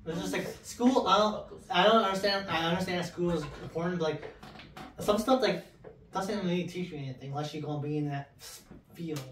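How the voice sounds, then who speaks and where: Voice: medium pitch, a little fast, a little hiss or hum. A co-host in a casual group chat who stutters and keeps restarting words, with a mid-range voice. The sound is roomy, with the voice caught from across a small room. It is picked up by a far-off camera mic. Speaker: podcast co-host. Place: small room with colored LED lights, group around a table.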